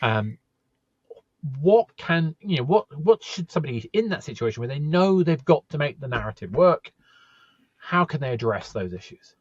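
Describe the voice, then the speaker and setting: Man, podcast host, husky voice, dry dull recording, conversational, probing questions. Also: on the high side, very animated, normal speed, no echo. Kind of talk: conversational interviewing.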